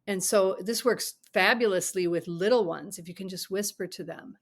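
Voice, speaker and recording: A middle-aged woman speaking as a guest on a parenting podcast. She gives advice in an earnest, steady way, with a voice of middling pitch. At times she sinks into a soft whisper to show how it's done. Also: normal speed. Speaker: parent coach.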